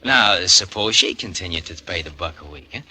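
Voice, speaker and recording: An actor playing a scheming grown son in a 1940s radio drama, wheedling and slangy, tagging lines with huh and no. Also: male voice, deep voice, expressive, normal speed, sharp tone, slightly rough, full character voice. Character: slick, scheming, pushy grown son, small-time hustler. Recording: noisy old radio broadcast recording.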